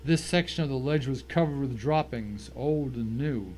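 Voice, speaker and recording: A man's voice: mid-range voice, normal speed, darkish tone. A man giving a dramatic first-person reading of a short story as its narrator. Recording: faint noise and ambience behind.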